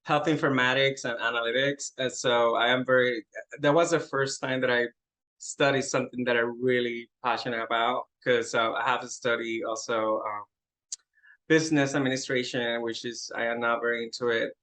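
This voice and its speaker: A man's voice: medium pitch, level tone, moderate pace, fairly bright tone, low-quality recording. A middle-aged man from Puerto Rico with a Puerto Rican accent, a Spanish speaker, guesting on a casual interview and laughing often in a modest way. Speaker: interview guest, medical coder.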